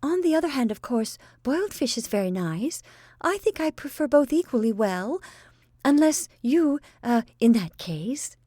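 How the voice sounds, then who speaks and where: A woman's voice: sits high, very animated, normal speed, dark tone, no echo, voice pushed up. A timid, dithering spinster sister, as a woman reading a story puts her on, hesitant and unsure. The voice stays near the reader's own. The recording is dry and close.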